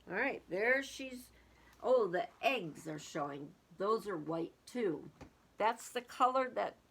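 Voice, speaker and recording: A woman's voice: medium pitch, vocal fry, fairly bright tone. A woman reading a storybook aloud to young children, expressively, with casual musing commentary.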